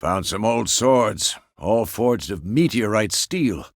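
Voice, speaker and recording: A man playing a gruff, seasoned old monster-hunter mentor in a video game, with gravelly, measured, instructive delivery. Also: on the low side, expressive, no room sound, studio quality, heavily acted. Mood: stern, practical. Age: older. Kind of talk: video game character dialogue.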